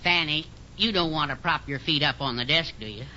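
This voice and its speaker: An actor playing a wry, folksy, wisecracking business partner in a 1940s radio situation comedy. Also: male voice, a little high, brisk, bright, forceful tone. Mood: dry wisecracking. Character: wisecracking, easygoing office partner. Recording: muffled 1940s radio recording, faint noise.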